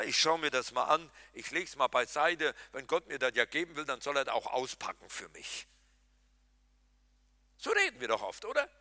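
A man preaching with a bright, forceful voice that swings widely in pitch as he addresses the congregation. He jokes with them and challenges them directly. The sound is dry and close, with no room echo. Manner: animated, talks to the congregation directly, jokes and laughs. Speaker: pastor. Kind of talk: lively Pentecostal sermon.

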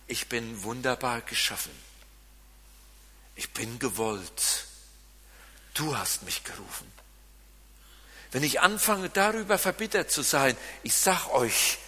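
A man with a Siegerland German accent preaching a sermon, swinging from loud emphasis to quiet asides. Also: mid-range voice. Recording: long reverb of a large hall.

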